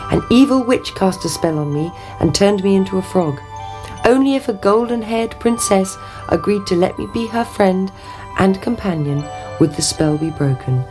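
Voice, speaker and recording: A woman's voice: medium pitch, expressive, normal speed, over music, voice pushed up, natural voice. Woman as an earnest, grateful storybook prince. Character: handsome young prince, freed, grateful and earnest.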